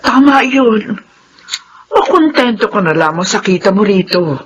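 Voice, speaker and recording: A woman playing an older, tender mother in a classic radio drama, speaking with tearful warmth. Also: medium pitch, lively intonation, a little slow, a little hiss or hum, lightly acted.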